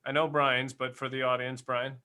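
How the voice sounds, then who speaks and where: Bright, emphatic man hosting casual banter. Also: mid-range voice, level tone, normal speed. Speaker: podcast co-host who puts questions to the others. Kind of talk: casual banter and fan commentary.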